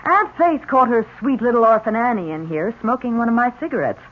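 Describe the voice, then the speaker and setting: Radio mystery actress: cool, wry woman, animated melody, muffled recording with slight hiss. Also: fairly high pitch, brisk, close to an everyday voice. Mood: sardonic. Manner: dry, sardonic. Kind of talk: old-time radio drama acting.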